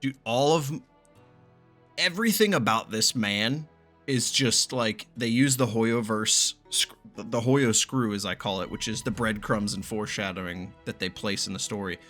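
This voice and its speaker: A male gamer with a bright, punchy voice reacts casually to a story game. He thinks aloud, excitable and free with swearing, over faint background music. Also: fairly low pitch, normal speed.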